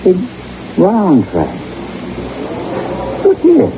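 A man's voice: sits high, huge pitch swings, drawn-out words, heavily acted. An actor playing an anxious, hesitant man on a wilderness trek in an old-time radio horror drama. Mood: puzzled, uneasy. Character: anxious, questioning male companion on a wilderness trek. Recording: muffled, noisy vintage radio recording.

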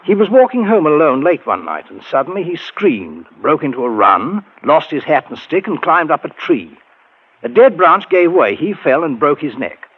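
Husky gravely worried friend. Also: male voice, fairly high pitch, very animated, normal speed. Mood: worried, ominous. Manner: grave, hesitant, ominous. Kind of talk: 1940s radio horror acting. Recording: tinny, narrow old radio recording.

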